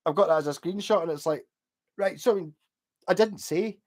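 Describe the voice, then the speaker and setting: A man's voice: on the high side, a little fast, a little mellow. Rambling Glaswegian man on a livestream. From Glasgow, Scotland. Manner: rambling, sweary, reading chat aloud. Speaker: livestream host. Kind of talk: casual chat.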